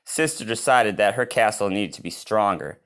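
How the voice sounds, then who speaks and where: A man reading a picture book aloud as host, expressive and given to chatty reactions to the story. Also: lowish voice, moderate pace, smooth. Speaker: read-aloud reader and channel host.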